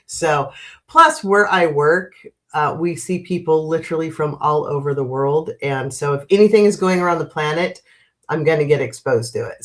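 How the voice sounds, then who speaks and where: A woman in her fifties hosting a casual livestream, chatty and greeting viewers by name, with a stuffy, congested nose from a cold. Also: sits low. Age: 50s. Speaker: livestream host.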